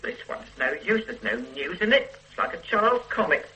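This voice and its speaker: An actress playing a fussy, complaining wife confined to bed with a bad back, in a radio drama. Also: female voice, mid-range voice, sing-song, moderate pace, bright, forceful tone, low-quality recording. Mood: peevish. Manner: fussy, petulant and demanding. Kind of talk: 1970s radio drama acting. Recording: old radio recording with faint hiss.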